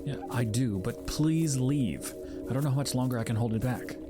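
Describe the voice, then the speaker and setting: A man's voice: medium pitch, normal speed, natural voice. A man playing a powerful, anguished spirit of fear who holds himself back, speaking formally in an audio drama. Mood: urgent warning. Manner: formal, archaic, solemn wording. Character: Fear, powerful anguished male emotion spirit, self-restraining, dreaded. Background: music under the voice.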